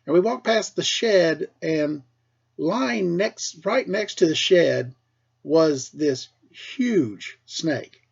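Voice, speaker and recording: A middle-aged American man, a teacher with a husky voice, tells casual personal stories for children in an animated way, acting things out as he goes. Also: fairly high pitch, extremely slow, dull audio. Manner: animated, acts out dialogue and sound effects. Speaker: school teacher telling stories to pupils.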